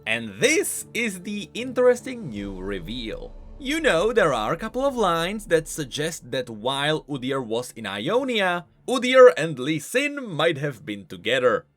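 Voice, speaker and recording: A man hosting a video game lore explainer, speaking in an animated, emphatic way. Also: a little high, measured pace, few pauses. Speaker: host of a game lore channel. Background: music.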